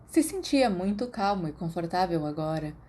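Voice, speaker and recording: A woman's voice: fairly low pitch, very animated, normal speed, dark tone. A Brazilian woman reading fiction aloud as scripted audiobook narration. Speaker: podfic narrator.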